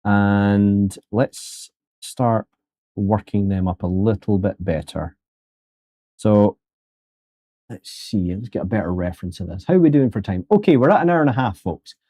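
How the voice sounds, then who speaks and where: Low, mellow man chatting through a tutorial. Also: a little slow, no echo, studio quality. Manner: chatty and joking, talks to viewers as folks. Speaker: 3D sculpting instructor streaming live. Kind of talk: casual live-stream tutorial commentary.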